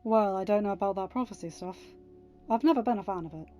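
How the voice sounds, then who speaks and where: Woman reading a mellow, resolute hero husband. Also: medium pitch, fast talker, dull audio, faint music behind, close to an everyday voice. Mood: reassuring. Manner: earnest, reassuring. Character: heroic warrior husband, tender, calm and resolute. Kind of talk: dramatised audiobook reading.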